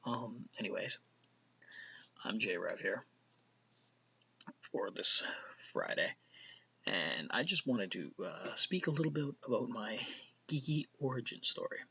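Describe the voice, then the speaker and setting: A man's voice: medium pitch, expressive, a little slow, creaky, long pauses, sharp tone, husky, lo-fi sound. A middle-aged Canadian man with a Canadian accent, rambling casually as host of his own vlog, often sliding into a near whisper. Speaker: vlogger host.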